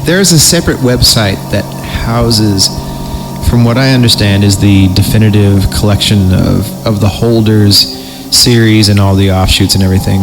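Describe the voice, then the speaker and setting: A man's voice: lowish voice, fairly even intonation, normal speed, noisy background. A man giving casual, explanatory podcast commentary over background music.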